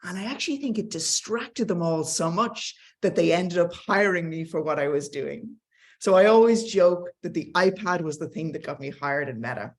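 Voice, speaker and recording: A woman's voice: lowish voice, animated, moderate pace. A middle-aged woman with an Irish accent gives a conversational, enthusiastic talk with slides, mixing in light self-deprecating asides. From Ireland. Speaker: tech company speaker.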